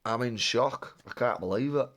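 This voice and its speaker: A man chatting casually while opening trading cards, sounding a little bunged up with a cold and rambling excitedly. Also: medium pitch, moderate pace, slight background noise. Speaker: card-opening vlogger. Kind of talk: casual unboxing chat.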